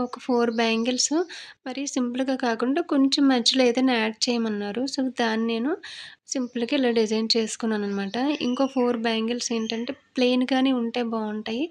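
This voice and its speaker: A young woman narrates a craft video as channel host in a casual, conversational way, often ending sentences with a filler tag. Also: on the high side.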